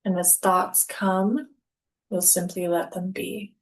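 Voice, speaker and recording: Slow-paced woman guiding a relaxation. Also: fairly low pitch. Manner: calm, unhurried, instructional, with deliberate pauses between phrases. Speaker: yoga nidra instructor. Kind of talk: guided relaxation meditation.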